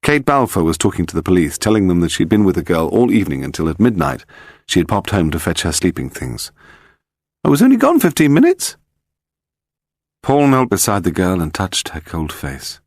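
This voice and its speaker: A man's voice: deep voice, animated, a little fast, dry recording, clean studio sound. A man reading scripted narration of a crime story as an audiobook narrator.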